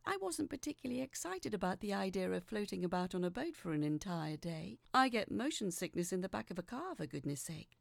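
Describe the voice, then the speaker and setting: A woman reading a scripted dramatic story as narrator, measured, with phrases falling away into a hush. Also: medium pitch, vocal fry, no echo. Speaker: storyteller reading a letter aloud.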